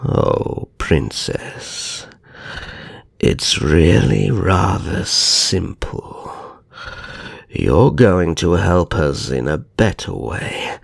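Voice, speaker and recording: A man playing a smugly cruel, vengeful vampire naga in an ASMR roleplay, taunting and drawing out his words in a gravelly voice. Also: sits low, very animated, no echo, studio quality, full character voice. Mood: smug threat. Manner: drawn-out, taunting.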